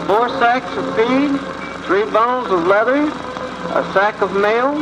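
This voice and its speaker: A man's voice: very high-pitched, measured pace. A man working on the railway speaks plainly, itemizing freight in an old documentary film. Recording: noisy outdoor 1940s film sound.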